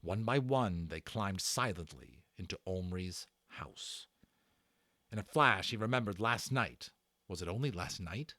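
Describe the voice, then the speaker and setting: A man narrating a children's novel in a theatrical way, stressing words and pausing for drama. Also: low voice, expressive, bright, forceful tone, dry recording, studio quality. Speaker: audiobook narrator and channel host. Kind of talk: dramatic read-aloud storytelling of a children's novel.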